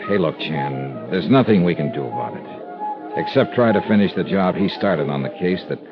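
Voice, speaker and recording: An actor playing a wry, tough but caring insurance investigator in a 1950s radio detective drama, with a clipped, world-weary manner. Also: male voice, deep voice, animated, moderate pace, music in the background. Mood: gently consoling. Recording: muffled vintage radio recording.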